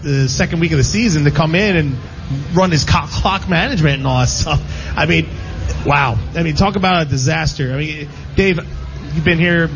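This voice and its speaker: Higher-voiced man hosting sports talk, dull sound. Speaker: sports talk show host. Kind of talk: casual sports chat. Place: live radio broadcast at a casino. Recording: dull lo-fi live pickup, faint noise.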